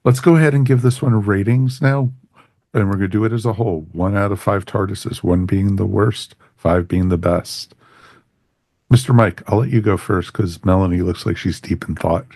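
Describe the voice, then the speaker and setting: Deep-voiced male podcast host. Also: moderate pace, darkish tone, no echo. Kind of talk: casual review discussion.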